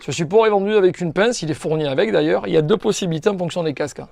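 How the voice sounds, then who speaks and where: A man's voice: high-pitched, racing, vocal fry, a little mellow. A man giving an informal, step-by-step product tutorial as a shop presenter, explaining things directly to viewers.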